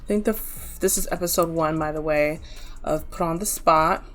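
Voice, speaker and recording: A woman from Nigeria hosting a reaction video, chatting casually and filling her talk with quick asides. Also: low-pitched, a bit bright, faint music behind.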